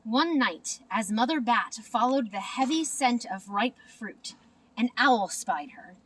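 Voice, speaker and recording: A woman narrating a children's storybook in an expressive, deliberate manner. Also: fairly high pitch, distant mic, poor audio. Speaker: storybook reader.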